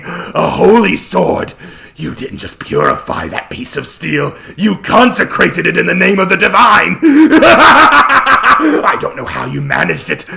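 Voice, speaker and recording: A man's voice: sits high, creaky, bright, forceful tone, pitched above the performer's own voice, full character voice. A man voicing a gleeful, sadistic villain god in a dramatic story reading, theatrical, gloating and mocking. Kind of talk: dramatic audiobook character voice.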